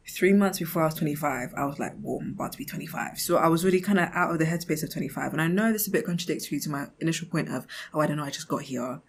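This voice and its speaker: A woman's voice: sits low, slightly rough. A woman in her twenties hosting a casual podcast monologue, chatting expressively and acting out imagined remarks in a giggly, conversational way. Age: 20s. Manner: giggly, often laughing mid-thought. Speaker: podcast host.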